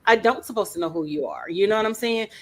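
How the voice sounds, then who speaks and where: A woman's voice: mid-range voice, lively intonation, fast talker. An older woman from Louisiana with a Louisiana accent and a nasal voice, co-hosting a radio talk show and giving emphatic, opinionated takes. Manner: emphatic, opinionated, repeats "things like that or whatever". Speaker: co-host.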